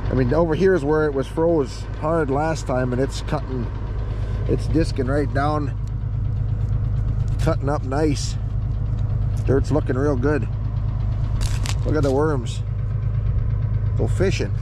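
A man in his thirties, a dairy farmer, chatting casually and rambling with fillers to a handheld camera outdoors on a field. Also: a little high. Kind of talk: casual vlog narration. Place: outdoors on a farm field by a tractor. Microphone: handheld camera at arm's length. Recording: loud engine noise outdoors. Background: tractor engine idling loudly.

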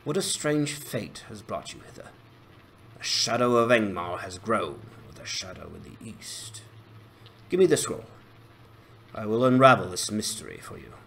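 A man voicing an ancient, noble elf lord in a fantasy game dramatization, speaking formally and solemnly in measured phrases. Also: fairly low pitch, sing-song, creaky, fairly bright tone, heavily acted. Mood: solemn counsel. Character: noble, grave male elf lord of great age. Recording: voice over music.

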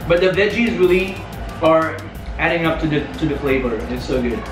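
A young man with a Filipino accent chats cheerfully while he eats, his voice sitting a little high. He sounds a bit far from the mic, in an echoing room. Music plays clearly underneath. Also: a little fast. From Philippines. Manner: chatty, upbeat, laughs often, talks while eating. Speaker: vlogger. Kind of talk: casual food tasting chat. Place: indoors in a small room, seated at a table. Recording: echoey room from a distance with music.

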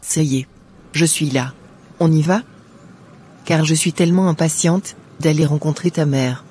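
An actress playing an eager, compliant young bride-to-be in a cartoon drama, with agreeable, formal replies. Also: female voice, low voice, unhurried, dark tone, soft background music.

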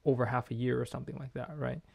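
A man's voice: mid-range voice, fairly even intonation, fast, creaky. A young man hosting a podcast, asking curious questions and affirming with "I see" and "gotcha," speaking close to a studio mic at home. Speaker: podcast host. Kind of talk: casual interview chat. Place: bedroom at home.

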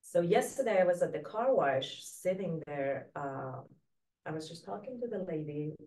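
A woman with a Persian accent speaks in a fairly low voice. She tells stories in a chatty, conversational way and slips into quoted dialogue. The microphone sits at a distance in the room. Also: expressive, normal speed, a little mellow. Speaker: guest, real estate agent. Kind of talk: casual conversational storytelling. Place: small indoor studio set, seated.

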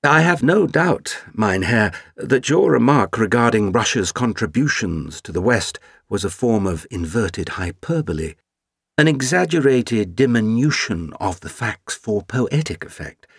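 A man's voice: lowish voice, animated, measured pace, gravelly, a bit bright, husky, dry recording, studio quality, heavily acted. Man playing a florid, gallant Russian count. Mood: grandiloquent. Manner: florid, theatrical oratory. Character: eloquent, gallant Russian count, grand and witty.

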